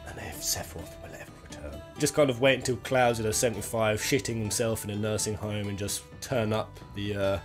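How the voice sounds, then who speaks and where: A man's voice: fairly low pitch, fairly quick, hardly any pauses, faint music behind. A British man giving casual, jokey top-ten video game commentary, rambling and thinking aloud as he goes. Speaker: video game countdown host.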